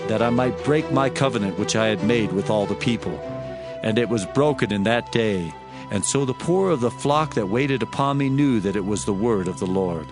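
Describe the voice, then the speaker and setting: A man narrating scripture in a dramatized reading, with solemn, declamatory delivery and deliberate phrasing. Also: lowish voice. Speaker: Bible narrator. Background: musical score.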